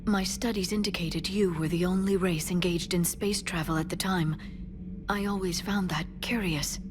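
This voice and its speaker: Music sits clearly behind a slightly low female voice. She is an earnest alien scholar in a video game, acted by a woman, polite and careful, with an inquisitive way of speaking. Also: fairly even intonation, moderate pace, natural voice. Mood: eager, curious. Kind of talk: dramatic video game acting.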